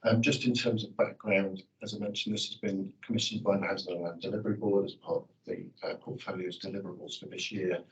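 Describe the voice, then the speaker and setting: A man with a croaky voice presenting a formal policy update at a committee meeting, in formal, jargon-heavy sentences with fillers. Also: deep voice, little pitch movement, fast talker, hardly any pauses, far from the mic, poor audio. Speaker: officer presenting a report.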